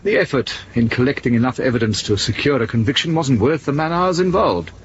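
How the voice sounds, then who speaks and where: An actor playing an urbane, evasive secret service officer in a radio drama, drily condescending and smug. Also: male voice, medium pitch, a little fast, muffled recording, noisy background, close to an everyday voice. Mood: amused, unrepentant.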